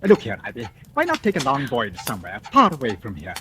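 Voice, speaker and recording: An actor playing a stuffy, evasive young English lord who stammers, in 1940s radio acting. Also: male voice, mid-range voice, wildly swooping pitch, fast talker. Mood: awkward. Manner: stammering, hesitant. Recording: hissy old radio broadcast.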